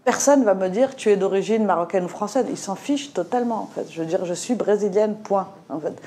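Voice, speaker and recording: A woman's voice: mid-range voice, brisk, warm tone, a little hiss or hum. Hesitant woman from Morocco, slight accent. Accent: slight Moroccan French accent. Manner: hesitant, many fillers and restarts. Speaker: panel speaker. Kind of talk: discussion talk.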